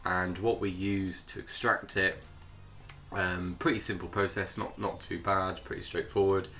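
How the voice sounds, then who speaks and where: A man with a cold, sounding hoarse, hosting his vlog in a chatty, hesitant way. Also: low voice, little pitch movement, a little slow, bright, forceful tone. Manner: chatty, hesitant with many fillers. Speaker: channel host. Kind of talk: casual vlog intro.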